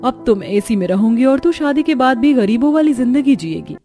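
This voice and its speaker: Woman acting haughty young cartoon sister, high mellow voice, dramatic, self-absorbed. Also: animated, fairly quick, soft background music, close to an everyday voice. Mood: boastful. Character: haughty young rich-married sister, boastful or self-pitying.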